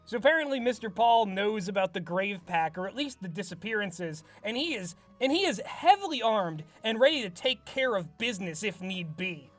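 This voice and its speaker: A man's voice: high voice, animated, moderate pace, few pauses, a bit bright, faint music behind. A man in his twenties reading from his old script and giving comedic commentary on it in a wry, self-mocking way. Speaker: writer and host.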